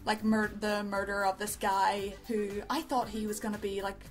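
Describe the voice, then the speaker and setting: A woman's voice: mid-range voice, flat delivery, moderate pace. A woman co-hosting a review show, chatting casually in a rambling, informal, effusive way. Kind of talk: casual chat, review commentary. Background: background music.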